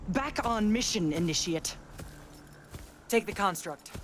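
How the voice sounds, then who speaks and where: A woman's voice: medium pitch, lively intonation, slow, a little hiss or hum. An actress playing a stern, ruthless female battlemage commander in a video game, speaking in a clipped, commanding, dry way. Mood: cold, resolute. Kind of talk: dramatic video game acting.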